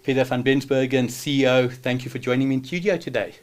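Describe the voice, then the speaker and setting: A man's voice: mid-range voice, fairly even intonation, fast talker. Emphatic male podcast host. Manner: emphatic, rhetorical questions. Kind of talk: studio interview. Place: studio.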